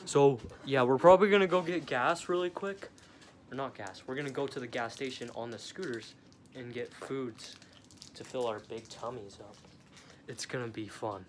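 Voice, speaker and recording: A young man vlogging casually on a phone held at arm's length, joking and chattering quickly. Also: mid-range voice, slight background noise. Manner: goofy, jokey, hurried chatter. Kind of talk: casual vlog. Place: house rooms and outdoors at night.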